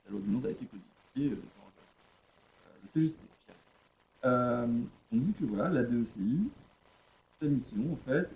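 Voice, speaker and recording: A man giving an explanatory webinar presentation in a hesitant, halting way, often rephrasing, over a web conference connection. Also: medium pitch, animated, vocal fry. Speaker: webinar presenter, legal expert. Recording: dull lo-fi web conference audio.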